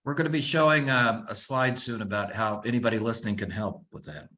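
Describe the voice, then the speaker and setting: Man, host, bright tense voice, stammers and repeats words, video call. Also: medium pitch, lively intonation, rapid-fire. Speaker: host, executive director. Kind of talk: hosting a live video talk.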